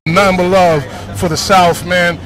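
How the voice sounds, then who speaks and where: A man with a Bronx New York accent, a DJ talking casually in slang on a city street, full of shout-outs and "you know what I'm saying". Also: sits high, fairly even intonation, a little slow, music in the background, a crowd in the background, rough recording. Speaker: DJ interviewed on the street.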